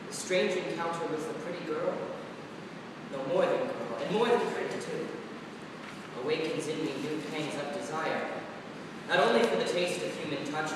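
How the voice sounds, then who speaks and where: An actor playing a lonely, philosophical, forgetful Sandman in a staged reading of a verse play, speaking in earnest, formal diction. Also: natural voice. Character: lonely, philosophical, amnesiac dream-dwelling Sandman, male. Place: indoor performance space, far microphone. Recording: echoey hall from far off, noisy rumble.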